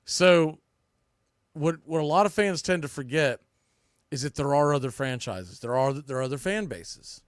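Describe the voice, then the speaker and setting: A man's voice: on the high side, lively intonation, normal speed, no echo, studio quality. A man hosting a sports podcast solo, giving opinionated baseball commentary in a blunt, colloquial way and repeating phrases for emphasis.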